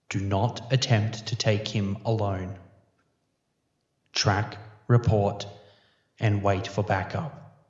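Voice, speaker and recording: A man's voice: on the low side, a little mellow. A man playing a grave, methodical police investigator giving a formal, clinical briefing in a sci-fi audio drama. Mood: stern, instructing. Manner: measured, formal, clinical delivery. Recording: echoey room, dull muffled sound.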